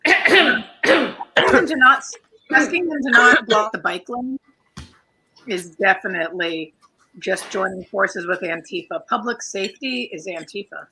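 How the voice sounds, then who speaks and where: A woman giving sarcastic, deadpan commentary in a casual livestream conversation. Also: mid-range voice, a little slow, long pauses, poor audio. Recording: faint noise with clicks.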